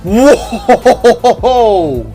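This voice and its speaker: A man voicing an excitable, brash young armored hero in an audio drama, animated and exclamatory. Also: very high voice, extremely slow, voice pushed up, heavily acted. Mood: amazed. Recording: background music under the voice.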